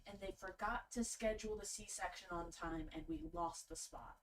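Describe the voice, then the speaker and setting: A woman's voice: on the low side, moderate pace, recorded from a distance, background noise. A young woman of about twenty co-hosting a stream, reading viewer comments aloud and teasing. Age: young adult. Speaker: co-host reading chat.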